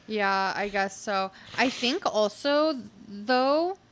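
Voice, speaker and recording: A woman's voice: mid-range voice, expressive, takes it slowly, a bit bright. A young adult woman, a comedian guest on a podcast, chatting casually and leaning heavily on "like" as a filler. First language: not English. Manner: chatty, filler-heavy with frequent "like". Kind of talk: casual chat.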